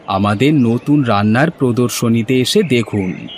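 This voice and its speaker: A man's voice: mid-range voice, a little slow, natural voice. Man playing a proud, welcoming village fair host. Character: proud, earnest village man launching a hilsa fish fair. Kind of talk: scripted cartoon dubbing.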